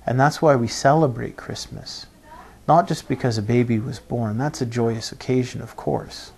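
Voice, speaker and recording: A man with a gravelly, creaky voice teaches scripture in an informal sermon. His pitch sits in a middle range for a man. He works through his thoughts haltingly, pausing to rephrase and often tagging points with a questioning check. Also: steady tone, a little mellow. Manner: halting, thinking aloud, often adds "right?" to check. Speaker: preacher teaching a Bible passage.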